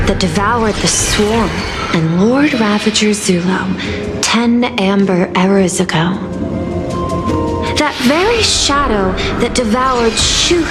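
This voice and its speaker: Game role: composed female machine mind, formal and superior, measured slow delivery, music underneath. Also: medium pitch. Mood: composed, probing. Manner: formal, precise, measured diction. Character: calm, calculating female machine-intelligence schemer, courteous and superior. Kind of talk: video game voice acting. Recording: music behind the voice.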